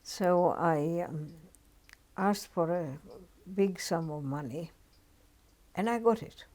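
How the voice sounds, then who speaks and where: An older woman from Finland with a Finnish accent, telling her story in an oral history interview, unhurried and reflective with frequent pauses. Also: lowish voice, darkish tone.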